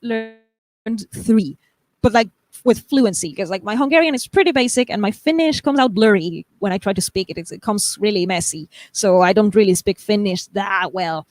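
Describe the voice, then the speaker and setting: A woman's voice: medium pitch, lively intonation, moderate pace, darkish tone, dry recording. A young woman from the United States hosting a live stream, chatting casually and reading viewer comments aloud, often laughing and restarting her sentences.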